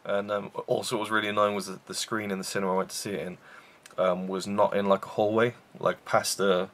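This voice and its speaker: A man's voice: fairly low pitch, level tone, fast talker, fairly bright tone. A man hosting a casual film review show, rambling with enthusiasm and many fillers and restarts.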